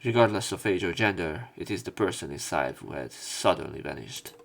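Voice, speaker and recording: Low-voiced man narrating a visual novel. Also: expressive, a little fast, creaky. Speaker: let's play commentator. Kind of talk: reading visual novel narration aloud with casual commentary.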